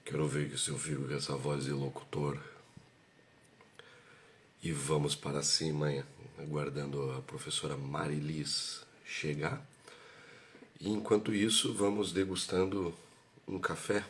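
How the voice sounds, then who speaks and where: A hoarse man from Curitiba with a Brazilian Portuguese accent, co-hosting a casual live chat in a relaxed, jokey way. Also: rumbling low, measured pace, pauses often, a little hiss or hum. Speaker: live show co-host.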